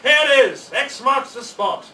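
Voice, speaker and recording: A greedy, stubborn storybook pirate captain, played by a man reading aloud, full of exclamations and grumbles. The voice is high-pitched and sharp, a little distant from the mic over faint noise. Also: expressive, a little slow, reverberant, exaggerated voice. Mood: excited. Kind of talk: children's storybook character voice.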